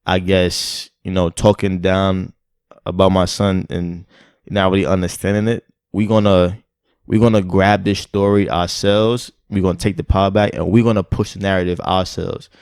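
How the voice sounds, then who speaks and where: A man's voice: deep voice, level tone, normal speed, darkish tone, smooth, dry recording, studio quality. A young man co-hosting a podcast in casual chat, laid-back and hesitant, with many fillers. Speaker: podcast co-host.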